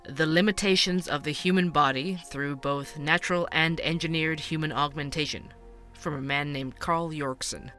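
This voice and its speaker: Bright-voiced, wry investigative podcast host. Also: male voice, fairly high pitch. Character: investigative true-crime podcast host, male, wry and curious. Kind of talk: scripted narration, fiction podcast. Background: music.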